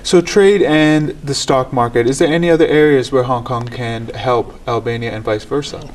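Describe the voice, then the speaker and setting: A man's voice: mid-range voice, moderate pace. Male talk show host, formal. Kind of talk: studio interview.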